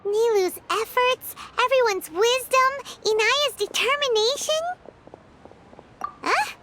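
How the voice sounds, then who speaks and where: A squeaky chirpy little fairy sidekick. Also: female voice, slow pace, background noise. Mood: curious. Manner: bubbly, talks about herself in third person. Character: tiny chirpy female fairy companion. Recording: game cutscene with loud music underneath.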